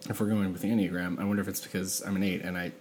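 A woman in her thirties co-hosting a podcast, chatting casually in a halting way and often interrupting herself. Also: extremely low pitch, flat delivery, darkish tone, slight background noise. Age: 30s. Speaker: podcast co-host. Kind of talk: casual chat.

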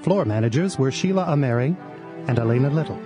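A man's voice: mid-range voice, expressive, quick pace, muffled recording. A man reading scripted credits as an announcer. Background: music.

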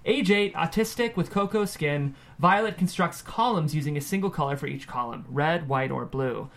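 Higher-pitched man reading screenplay directions. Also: moderate pace, vocal fry, fairly bright tone. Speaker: stage-direction narrator at a table read. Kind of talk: scripted narration of screenplay directions.

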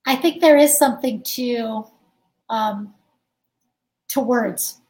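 A chatty woman with a high voice, speaking as an interview guest. She talks casually, laughs easily and keeps saying "like". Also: takes it slowly, darkish tone. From United States. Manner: chatty, laughs often, says "like" a lot. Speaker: guest musician.

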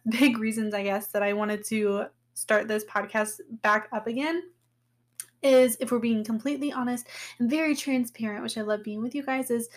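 A woman in her thirties hosting her own podcast, talking candidly and casually with a slightly hoarse voice, often laughing and using fillers. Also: on the high side. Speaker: podcast host. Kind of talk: casual personal podcast talk.

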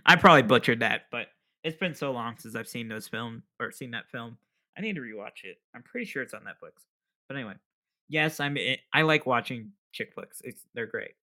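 Young male podcast host, casual rambling delivery, bright tone, self-deprecating. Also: medium pitch, a little fast. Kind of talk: casual solo podcast chat.

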